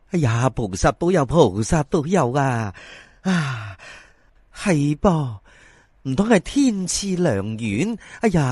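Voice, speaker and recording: A male storyteller acts out an old widowed mother from a Cantonese folk tale. She is husky and very animated, exclaiming with pious delight and muttering her thanks. The sound is close and dry. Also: medium pitch, no room sound. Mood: amazed, grateful. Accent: Hong Kong or Guangdong Cantonese.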